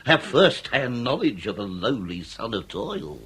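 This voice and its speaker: Wry, ceremonious Victorian inspector, swooping intonation. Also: male voice, medium pitch, big pitch swings, a bit bright, breathy edge, exaggerated voice. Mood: suave probing. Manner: florid, ceremonious, measured irony. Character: wry, courteous Victorian police inspector, male. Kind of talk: period comedy radio drama acting.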